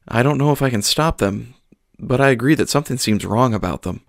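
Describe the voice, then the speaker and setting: A man's voice: medium pitch, lively intonation, a little fast, creaky, no echo, clean studio sound, pitched above the performer's own voice. A man voicing an earnest young pastor, sermonizing and talking with his congregation. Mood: concerned.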